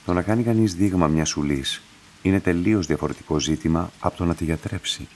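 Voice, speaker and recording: An actor playing a courteous, enigmatic laboratory director in a radio drama, speaking formally and in measured phrases. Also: male voice, low voice, a little mellow, a little hiss or hum, close to an everyday voice. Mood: calm, formal.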